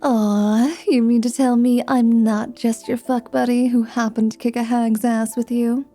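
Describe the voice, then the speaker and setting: A woman performs a female half-vampire mercenary from a fantasy audio roleplay. She is sardonic, world-weary and a little unhinged, speaking slowly in a mellow, slightly high voice. Her delivery is teasing, dry and theatrical. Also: level tone, faint music behind. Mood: flirty, teasing. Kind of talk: ASMR fantasy roleplay acting.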